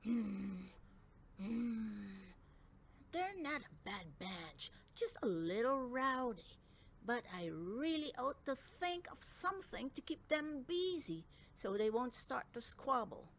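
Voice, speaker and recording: A woman playing a fretful old dragon king, hemming slowly. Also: a little high, animated, slight background noise. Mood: musing. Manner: pondering, hemming and humming. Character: short-sighted old dragon king, mild, fretful, doubtful. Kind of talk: children's storybook character voice.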